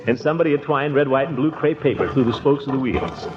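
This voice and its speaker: A man's voice: medium pitch, quick pace, lightly acted. An actor playing a folksy, sentimental middle-aged man telling a story in 1940s radio comedy. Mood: fond storytelling. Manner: expansive, swooping storytelling. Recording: dull 1940s radio recording with music.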